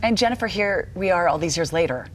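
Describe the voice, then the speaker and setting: A woman hosting a daytime television interview in a measured, sympathetic presenter's style. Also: lowish voice, expressive. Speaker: TV show host, interviewer.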